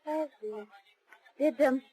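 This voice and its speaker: A woman's voice: high voice, slow, warm tone. Teasing 1940s radio sleuth's girlfriend. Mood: curious, teasing. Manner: light, bantering. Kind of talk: 1940s radio crime drama acting. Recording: restored 1940s radio broadcast.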